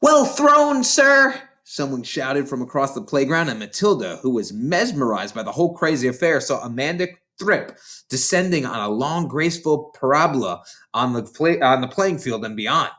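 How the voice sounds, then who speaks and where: A reader giving an expressive, dramatic read-aloud narration of a children's novel. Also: medium pitch, moderate pace. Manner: dramatic, animated storytelling. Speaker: storybook reader.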